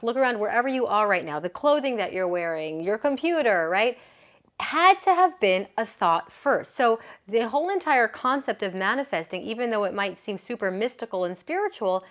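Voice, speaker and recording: A woman's voice: a little high, fairly bright tone. A woman yoga business coach giving a motivational talk, chatty and earnest, often checking in with the listener and laughing easily.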